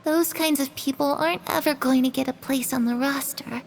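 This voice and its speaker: A woman voicing a frail, timid young girl who speaks slowly and hesitantly and slips into eerie whispers. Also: high-pitched, steady tone, creaky, a little hiss or hum. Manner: slow, hesitant, drifting into whispers. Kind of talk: video game dub acting.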